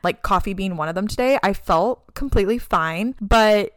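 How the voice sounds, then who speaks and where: A woman's voice: medium pitch, moderate pace, a bit bright, dry recording, studio quality. A woman in her mid twenties co-hosting a podcast, chatting in an upbeat way with lots of "like" fillers. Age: 20s. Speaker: podcast co-host. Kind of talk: casual chat.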